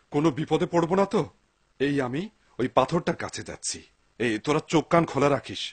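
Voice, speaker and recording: A man playing an excitable, pious, poor woodcutter in a dubbed cartoon, exclaiming and talking to himself in wonder. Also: fairly high pitch, slightly rough, no echo. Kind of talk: Bengali-dubbed cartoon acting. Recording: noisy soundtrack with clicks.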